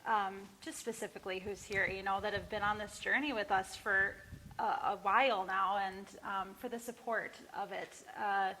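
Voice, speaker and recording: A woman with a bright, forceful tone talks earnestly and haltingly to a small gathering. Her mid-pitched voice rings in the long reverb of a barn as she speaks casually and from the heart. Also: fairly even intonation, a little hiss or hum. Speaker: singer and songwriter introducing own songs. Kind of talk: casual, personal talk before a performance. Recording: reverberant live barn recording.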